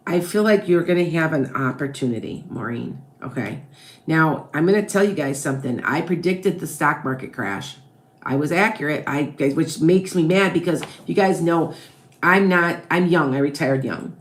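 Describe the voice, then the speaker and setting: A woman's voice: sits low, moderate pace. A woman with a Wisconsin-Chicago blended accent, a psychic tarot reader chatting through viewers' questions on a live stream and tagging her points with "okay? all right?". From American Midwest.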